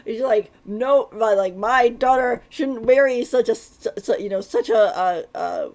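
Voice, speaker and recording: A woman's voice: fairly high pitch, unhurried, darkish tone. A woman livestreaming a game with casual, playful, giggly commentary.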